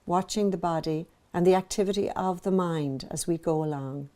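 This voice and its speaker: A woman meditation teacher narrating a guided practice in a gentle, measured, soothing manner, pausing between phrases. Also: lowish voice.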